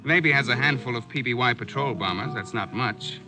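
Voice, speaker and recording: An actor playing a matter-of-fact American journalist in a 1940s radio drama, clipped and factual. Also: male voice, mid-range voice, fairly quick, over music, close to an everyday voice. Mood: confident, informative.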